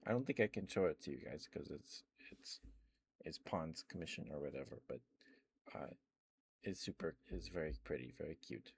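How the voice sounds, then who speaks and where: A man's voice: a bass voice, expressive, moderate pace, gravelly, breathy edge, dry recording. A man doing ASMR as a live streamer, chatting casually and affectionately with viewers close to the microphone. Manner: affectionate, addresses viewers by pet names.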